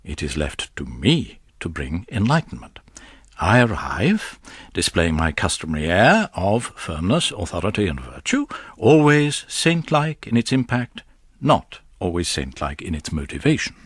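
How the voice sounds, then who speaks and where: Slow, pompous priest. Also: male voice, sits low, huge pitch swings, slightly rough, no echo, clean studio sound, full character voice. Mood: smug narration. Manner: measured, pompous, theatrical. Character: sanctimonious, self-satisfied male priest, slyly calculating. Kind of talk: radio drama with confiding asides.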